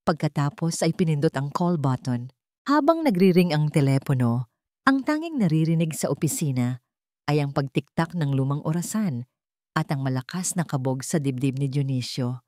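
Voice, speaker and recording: A woman narrates a dramatic scripted story in a low, mellow voice. The recording is dry and close, with little sense of a room. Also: sing-song, moderate pace, dry recording.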